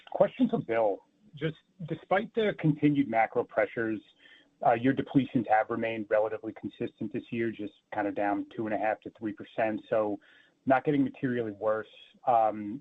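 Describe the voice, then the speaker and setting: A man with a fairly low voice asks a question as an analyst on an earnings call. He comes through on a tinny, narrow telephone line. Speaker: financial analyst caller. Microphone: phone.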